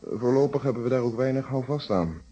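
An actor playing a calm, probing, wry male police inspector in a radio detective play, speaking Netherlands Dutch with polite, measured phrasing. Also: medium pitch, husky. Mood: wry, explanatory. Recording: dull, muffled radio drama recording.

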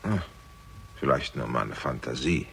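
An actor playing a composed, menacing older father in a German radio crime drama, speaking formally and deliberately with a gravelly, husky voice. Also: extremely low pitch, animated, fairly bright tone, slight background noise, heavily acted. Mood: guarded, controlled.

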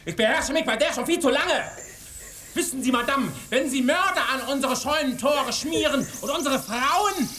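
An actor playing a menacing, hot-tempered village hunter in a radio drama, sneering and mock-polite. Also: male voice, extremely high pitch, pushed and bright, a little hiss or hum, heavily acted.

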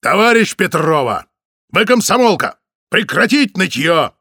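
A man's voice: high voice, sing-song, unhurried, gravelly, sharp tone, no echo, clean studio sound, pitched above the performer's own voice, exaggerated voice. A man voicing a hot-tempered male Soviet detective who barks and rages in furious outbursts. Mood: barking orders.

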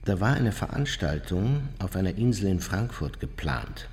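A man's voice: sits low, sing-song, normal speed, a little mellow, breathy edge, full character voice. An actor playing an older, unrepentant Nazi war criminal, smug and matter-of-fact as he recounts his memoirs in a radio drama. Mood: smug reminiscing. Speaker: narrator of his own memoirs. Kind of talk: radio drama monologue, reminiscing.

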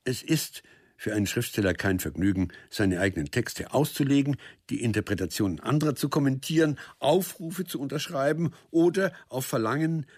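A man with a husky voice giving a formal, courteous, drily delivered literary reading. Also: mid-range voice, moderate pace, a little mellow, no room sound, clean studio sound.

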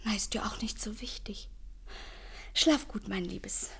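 An actress playing an affectionate but frightened thirty-year-old sister in a German radio play, with a breathy voice. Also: female voice, mid-range voice, measured pace, in a whisper, exaggerated voice. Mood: hushed, uneasy. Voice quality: breathy, hushed.

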